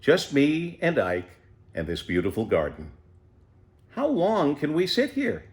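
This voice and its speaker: A man reading a children's storybook as an unhurried, contented eighty-year-old pet tortoise who savours everything with wonder. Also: on the high side, huge pitch swings, pitched above the performer's own voice, exaggerated voice. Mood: serene, savouring. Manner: savouring, leisurely, full of wonder.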